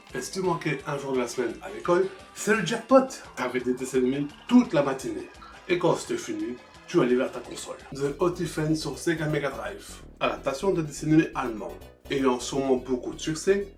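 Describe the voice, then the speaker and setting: A man giving humorous scripted video game review narration, sarcastic and foul-mouthed. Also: medium pitch. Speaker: host of a retro video game review show. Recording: roomy echo, music behind.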